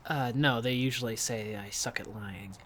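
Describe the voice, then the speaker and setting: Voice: mid-range voice, normal speed, fairly bright tone, a little hiss or hum, close to an everyday voice. An actor in a fiction podcast playing an awkward, evasive small-town local who is hesitant but eager to help. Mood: nervous, helpful.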